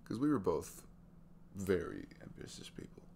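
A man plays a weary, sweet young single dad opening up in an intimate ASMR roleplay. His very deep voice crackles with gravel and moves up and down expressively as he hesitates and trails off. A faint hum sits behind the soft-spoken delivery. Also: wildly swooping pitch, normal speed, full character voice. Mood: bitter, subdued.

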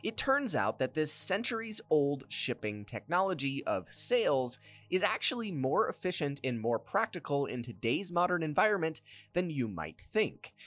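Lively documentary narrator over soft music. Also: medium pitch, no echo. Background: faint background music.

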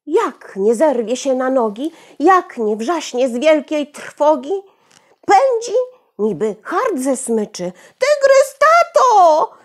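A woman's voice: sits high, very animated, slow pace, darkish tone. A middle-aged woman telling a children's story theatrically, speaking directly to young listeners. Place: small furnished room at home, indoors.

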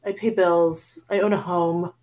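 A woman's voice: on the low side, fairly even intonation, unhurried, darkish tone, low-quality recording. A woman in her forties from a Cuban family, co-hosting a casual book club chat over a video call, chatty and self-deprecating. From Cuban-American family. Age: 40s. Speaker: book club podcast co-host.

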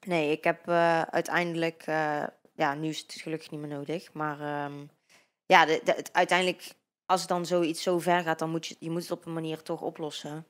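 Young woman, 22, Dutch accent, lowish voice, hesitant with fillers, handheld mic. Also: little pitch movement, moderate pace. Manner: hesitant, many fillers and restarts. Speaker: interview guest. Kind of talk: casual interview. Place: bright living-room studio with sofa. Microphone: handheld microphone.

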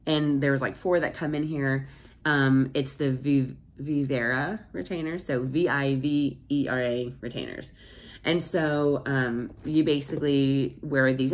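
A woman in her thirties chatting casually to camera in a home office, rambling with lots of fillers and restarts, sounding nasal from a cold. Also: rumbling low. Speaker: vlogger. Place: small home office indoors. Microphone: phone camera at desk.

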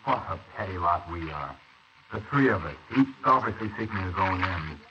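An actor playing an anxious, idealistic struggling young artist in a 1940s radio play, jittery and brooding, with halting delivery. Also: male voice, low-pitched, animated, pushed and bright. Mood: guilty, brooding. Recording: noisy, muffled 1940s wire recording.

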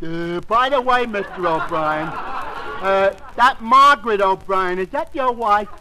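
High-voiced, dopey barfly. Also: male voice, takes it slowly, loud music behind the voice. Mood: earnest, dim. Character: dopey, hesitant tavern regular, earnest and dim. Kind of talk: 1940s radio comedy acting. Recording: very noisy old radio broadcast recording.

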